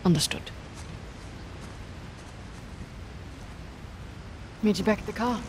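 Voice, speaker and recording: A woman playing a guarded, businesslike expedition partner in a video game, speaking in a terse, deadpan, matter-of-fact way. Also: medium pitch, rapid-fire, close to an everyday voice. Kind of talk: naturalistic video game acting. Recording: faint game ambience and vehicle noise.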